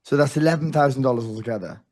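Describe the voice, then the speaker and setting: Hoarse male host, casual and rambling, restarts often. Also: mid-range voice, lively intonation, racing, a little mellow. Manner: chatty, rambling, full of restarts. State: losing his voice. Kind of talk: casual interview.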